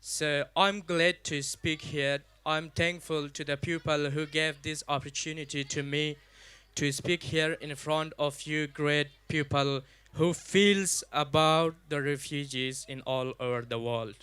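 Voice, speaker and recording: A young man with an Afghan accent giving a personal speech at a community event, halting and deliberate, in short non-native English phrases. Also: on the high side, steady tone, bright, forceful tone, clear, resonant tone, dry recording. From Afghanistan, raised partly in Pakistan. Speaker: guest speaker at a community event. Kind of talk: public speech, personal testimony.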